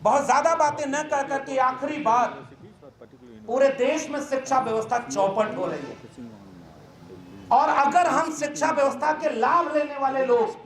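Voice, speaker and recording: High-pitched, indignant man orator in echoey room. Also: unhurried, fairly bright tone. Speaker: university professor and activist speaker. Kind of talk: press conference speech. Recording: echoey room with faint background noise.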